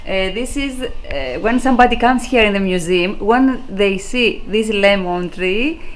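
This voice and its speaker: A woman's voice: mid-range voice, animated. A woman, explaining things as a tour guide and searching for words in English. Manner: halting, searching for words in non-native English. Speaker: museum founder giving a tour. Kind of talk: interview answers, explaining. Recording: clear ambient background noise.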